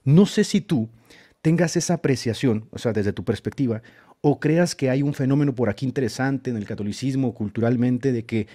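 A man hosting a video call panel, talking in quick runs and turning questions to his guests. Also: medium pitch, dark tone. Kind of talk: casual panel chat. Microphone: webcam video call.

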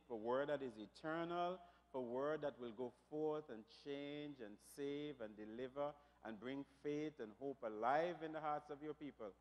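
A man preaching a New Year sermon as pastor, his voice a little raspy from shouting, rising from quiet prayer to loud declarations. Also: mid-range voice. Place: church. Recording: long reverb of a church hall.

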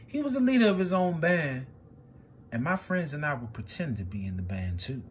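A man reading a picture book aloud with expressive, swinging delivery. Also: normal speed, slight background noise. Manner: expressive storytelling with big swings in pitch and loudness.

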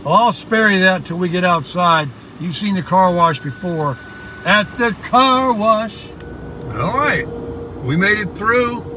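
An American man with a slightly high voice talks casually to his viewers as a vlogger. He rambles a little as he explains things. Clear car and outdoor noise runs behind him. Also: lively intonation, slow pace, pushed and bright. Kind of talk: casual chat to camera. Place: in a car and outdoors in a parking lot.

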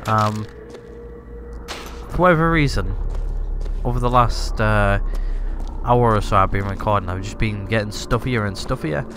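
A man's voice: fairly low pitch, normal speed, long pauses, slight background noise. A man commentating a video game, sounding stuffy and congested. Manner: relaxed, rambling, thinking aloud. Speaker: gaming video host. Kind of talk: let's-play commentary. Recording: commentary over loud game audio.

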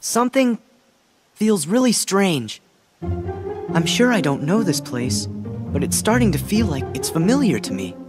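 A man's voice: sits high, sing-song, a little slow, long pauses, faint music behind. An actor playing an earnest, hot-headed, loyal teenage boy hero in a video game cutscene, speaking emphatically and defiantly. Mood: puzzled, hesitant.

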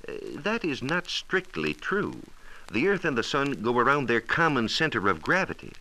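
An American man explaining science in a measured, precise, didactic way, in a scripted 1940s radio style. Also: mid-range voice, very animated, bright, forceful tone, poor audio. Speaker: science reporter explaining astronomy. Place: radio studio. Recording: hissy 1940s radio transcription disc.

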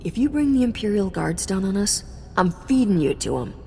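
A woman's voice: mid-range voice, animated, fairly quick, darkish tone, a little hiss or hum, lightly acted. A woman playing a cocky, sarcastic smuggler in a video game, with a wry, sassy delivery. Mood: scornful.

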